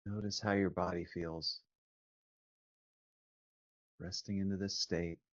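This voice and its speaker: Slow, low-voiced man guiding meditation. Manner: calm, measured, gently instructing with long pauses. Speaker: meditation teacher. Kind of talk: guided meditation instruction. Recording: phone-like narrow band, roomy echo.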